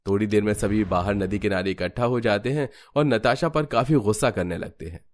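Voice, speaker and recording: A man's voice: on the low side, a little fast. A man narrating a scripted family story as a storyteller.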